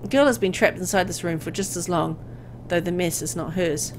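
A woman reading a visual novel aloud as dramatic scripted narration, with an expressive storytelling delivery. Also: fairly low pitch, fairly quick, noisy background.

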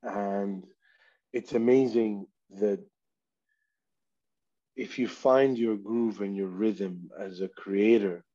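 Slow, mellow man on a headset call. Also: fairly low pitch, steady tone. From Saudi Arabia. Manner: slow, reflective, speaks of himself in third person. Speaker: artist guest. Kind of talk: video call interview. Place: small room indoors. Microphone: headset on video call. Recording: dull lo-fi call audio.

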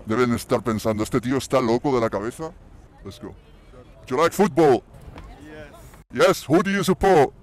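A man's voice: mid-range voice, moderate pace, pauses often. Informal Spanish man interviewing on the street. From Barcelona, Spain. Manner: informal, matey. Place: outdoors on a city bridge. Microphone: handheld interview microphone.

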